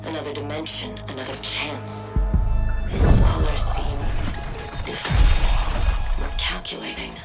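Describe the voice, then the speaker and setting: An actress playing a cold, brilliant female scientist villain in a video game, speaking in a quiet, calculating, melancholic way. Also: sits low, huge pitch swings, slow pace, vocal fry, far from the mic, loud music behind the voice, exaggerated voice. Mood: somber. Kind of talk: video game cutscene monologue.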